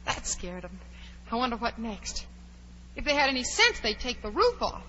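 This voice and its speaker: A woman's voice: a little high, sing-song, normal speed, pushed and bright. A woman playing a curious, chatty, plucky young girl who wonders aloud in a 1940s radio drama. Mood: plucky, indignant. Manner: wondering aloud, exclaiming, polite. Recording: dull, hissy 1940s radio recording.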